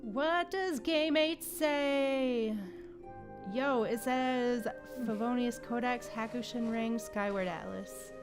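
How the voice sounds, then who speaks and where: Woman, gaming livestream host, informal chatter, leisurely pace, music softly behind. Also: fairly high pitch, takes it slowly. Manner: informal, sweary, chatting to viewers. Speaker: livestream host playing a video game. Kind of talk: casual gaming stream chat. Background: game music.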